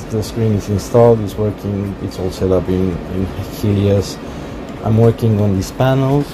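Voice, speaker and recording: A man casually walking through his home-built cockpit project, talking haltingly with many fillers. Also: lowish voice, background noise. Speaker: hobbyist cockpit builder. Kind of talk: casual build walkthrough. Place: workshop.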